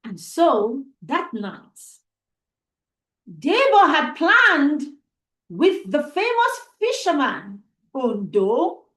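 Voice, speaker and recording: A woman storyteller with a high, very animated voice tells a tale for children. She goes slowly and deliberately, building suspense, and the room around her sounds echoey. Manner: deliberate, suspenseful, repeats phrases for emphasis. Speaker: storyteller, host of a storytime show. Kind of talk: dramatic oral storytelling for children.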